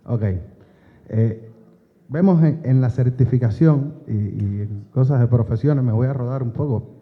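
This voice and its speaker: A man's voice: medium pitch, a little slow. Cuban-born man speaking in a reverberant hall. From Cuba. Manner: conversational, many filler "eh"s and restarts, wry asides. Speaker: president of a state optometry college, forum speaker. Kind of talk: conference talk. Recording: phone-like band, long hall reverb.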